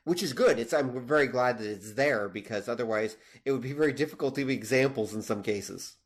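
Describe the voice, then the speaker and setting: A man's voice: mid-range voice, a little fast. A man with a Canadian accent in a casual podcast discussion, explaining with examples and making his points emphatically. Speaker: podcast co-host; teacher.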